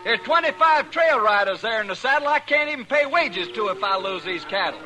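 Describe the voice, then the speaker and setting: A man's voice: extremely high pitch, lively intonation, fairly quick, pushed and bright, heavily acted. An actor playing a proud, desperate cattleman, angry and pleading, in a 1950s radio drama.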